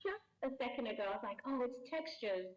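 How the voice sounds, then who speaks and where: A woman's voice: fairly high pitch, brisk, muffled recording. Chatty, laughing woman guest. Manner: chatty, laughs often, lively. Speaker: guest voice actor. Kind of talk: casual interview chat.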